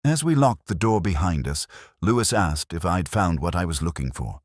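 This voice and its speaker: A man narrating a scripted first-person detective story in a measured, brooding, literary manner. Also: sits low, animated, no room sound, studio quality.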